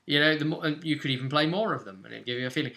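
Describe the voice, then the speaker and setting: A man's voice: fairly high pitch, racing, gravelly, bright, forceful tone. A man hosting as game master, casually recapping a tabletop role-playing session in an informal, storytelling way. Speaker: game master and channel host.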